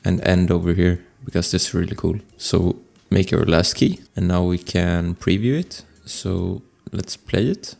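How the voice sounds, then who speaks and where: A man presenting a casual software tutorial as a voice-over, explaining informally step by step into a close microphone. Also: low-pitched, measured pace, vocal fry, few pauses. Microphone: close desktop microphone voice-over. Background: music.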